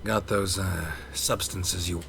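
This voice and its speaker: A man playing a dry-witted monster hunter in a fantasy game, gruff and gravelly, with a deadpan, laconic delivery. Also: low-pitched, slow, slight background noise, exaggerated voice. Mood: dryly amused.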